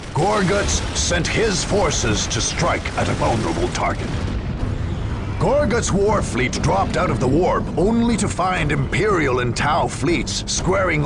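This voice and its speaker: Grim war chronicler, video game narration, gravelly, animated intonation, portentous. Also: male voice, a little high, very noisy, heavily acted. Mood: grave, dramatic. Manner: grave, portentous, deliberate storytelling. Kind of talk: dramatic scripted game narration.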